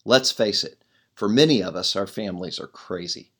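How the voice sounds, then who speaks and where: A middle-aged man with a loud voice, a pastor reading his own devotional blog aloud in an earnest way, leaning hard on key words. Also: low-pitched, moderate pace.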